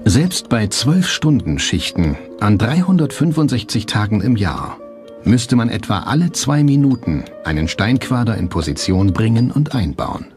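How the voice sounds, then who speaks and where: A man reading scripted documentary narration in a measured, factual manner. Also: fairly low pitch, darkish tone. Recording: music bed under the voice. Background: background music.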